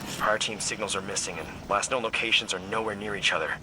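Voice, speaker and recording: A man's voice: fairly low pitch, fast talker, lightly acted. Terse, wary soldier played by a man. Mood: commanding. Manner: terse, commanding. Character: gruff, wary male soldier leading a lost team. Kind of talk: video game voice acting. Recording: noise or music behind dialogue.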